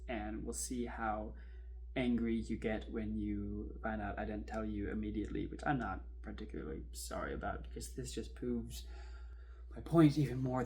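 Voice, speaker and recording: A man playing an anxious, bitter man terrified of death, speaking in halting, intense bursts in a confessional horror drama. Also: fairly low pitch, darkish tone, slight background noise, rough recording. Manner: halting, intense, breaking phrases apart.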